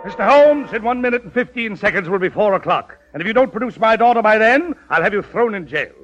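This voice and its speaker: A man's voice: high-pitched, animated, quick pace, a bit bright, highs cut off. An actor playing a pompous, easily flustered town burgomaster and indignant father in old-time radio comedy. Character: blustering, indignant male Dutch burgomaster, anxious father. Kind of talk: 1940s-50s radio comedy acting.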